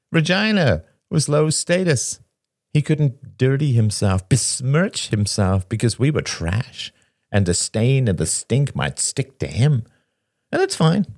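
A male audiobook reader acts out a wounded, bitter man who needles his friends. He starts softly and swings up into agitated, sardonic jabs. The sound is dry and close. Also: lowish voice, dry recording, voice pushed up, heavily acted. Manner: soft-spoken turning agitated and sardonic. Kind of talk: dramatic audiobook character dialogue.

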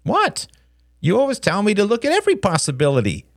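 Man as a cocky boy, raised pitch, mocking, sneering, mock-innocent, storybook big brother. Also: huge pitch swings, fairly quick, gravelly, exaggerated voice. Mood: taunting.

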